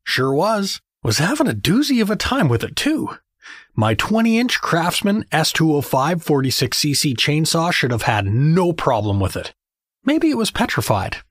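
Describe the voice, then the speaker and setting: A man's voice: fairly high pitch, sing-song, moderate pace, a bit bright, dry recording, clean studio sound. A man playing a chatty, oblivious middle-aged American dad who makes affable, corny small talk in an audio fantasy comedy. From rural South Dakota.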